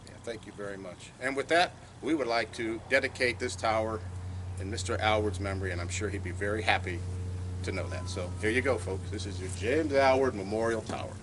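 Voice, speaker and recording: A man's voice: fairly low pitch, fairly quick, noisy background. A man giving a speech at an outdoor dedication, telling a story and acting out phone calls. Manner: anecdotal, acts out phone calls in quotes. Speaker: speaker at a tower dedication. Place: outdoors at a radio club site.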